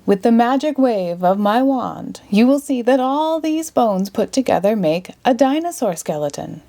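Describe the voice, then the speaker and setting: A woman voicing a cheerful, grandiose male stage magician in a storybook, with theatrical, drawn-out delivery. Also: a little high, lively intonation, vocal fry, warm tone, pitched above the performer's own voice. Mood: showy.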